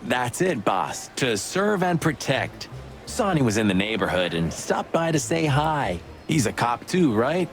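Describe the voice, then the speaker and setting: A jovial bird cop who jokes his way through every line. His voice bounces up and down with lively energy, and soft game music sits underneath. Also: mid-range voice, a little slow. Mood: playful. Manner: playful, joking. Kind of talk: video game voice acting.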